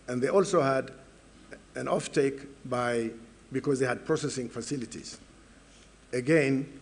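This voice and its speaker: A soft-spoken man with a low voice, making formal remarks as a panelist. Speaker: foundation representative on a panel.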